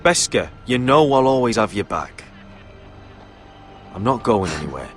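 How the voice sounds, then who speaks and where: A brash young exile with a fairly low, very mobile voice. He is wry, casual and loyal, a nobleman turned sellsword in a video game drama, over faint music. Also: big pitch swings, a little slow. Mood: earnest.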